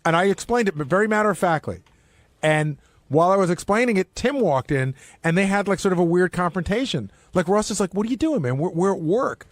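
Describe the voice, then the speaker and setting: Man, slightly high voice, rapid storytelling, casual panel talk, dry close sound. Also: animated, no room sound. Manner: conversational storytelling, acting out quoted exchanges. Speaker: radio show staff member.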